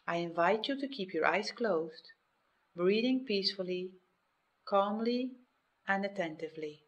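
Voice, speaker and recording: A woman leading a guided meditation in a measured, instructional way, speaking in short phrases with pauses. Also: mid-range voice, animated, muffled recording. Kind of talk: guided meditation, scripted narration.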